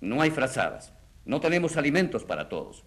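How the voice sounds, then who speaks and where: An actor playing an aggrieved village schoolteacher on the witness stand in a radio drama, speaking plainly and bitterly. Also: male voice, mid-range voice, sing-song, fairly quick, fairly bright tone. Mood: weary, plain. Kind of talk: dramatic radio play acting.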